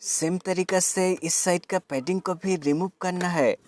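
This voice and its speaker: A man's voice: high voice, steady tone, unhurried, darkish tone, faint music behind. A man narrating a product unboxing and tutorial as a tech reviewer, explaining things methodically step by step.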